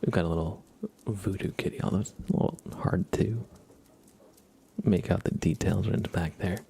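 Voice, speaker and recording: A man chatting casually on an ASMR livestream, soft-spoken and halting, often slipping into a whisper. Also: sits low, vocal fry, a little hiss or hum.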